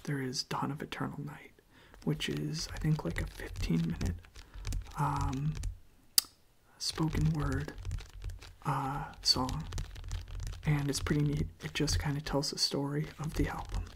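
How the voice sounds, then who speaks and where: A young man speaking in a hushed, breathy, mostly whispered ASMR style, unhurried and halting, close to the microphone. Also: a little high, flat delivery, slight background noise, poor audio. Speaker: ASMR video creator. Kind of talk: soft-spoken ASMR.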